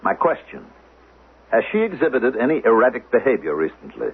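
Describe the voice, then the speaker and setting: Smooth, husky-voiced government agent. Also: male voice, mid-range voice, wildly swooping pitch, exaggerated voice. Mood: veiled threat. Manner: probing, politely menacing, deliberate. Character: smooth, insinuating male council agent posing as language teacher. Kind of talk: 1970s radio drama acting. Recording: muffled lo-fi 1970s radio broadcast.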